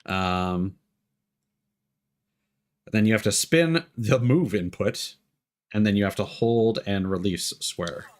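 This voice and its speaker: A man giving casual live gameplay commentary as a streamer, explaining things haltingly and thinking aloud. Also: on the low side. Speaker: game streamer. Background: game music.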